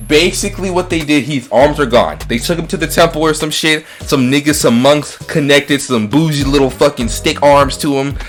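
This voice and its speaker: A man's voice: fairly high pitch, moderate pace, hardly any pauses, faint music behind. A man hosting a casual movie-review chat, ranting with profanity and opinions, often repeating and stumbling over his phrases. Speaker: podcast host.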